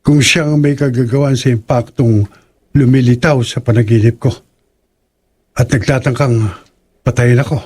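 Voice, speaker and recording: Man acting a troubled, mid-pitched young man. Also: steady tone, moderate pace, long pauses, darkish tone, slightly rough, close to an everyday voice. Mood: troubled, earnest. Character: troubled, kindly young man haunted by nightmares. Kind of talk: horror audio drama acting.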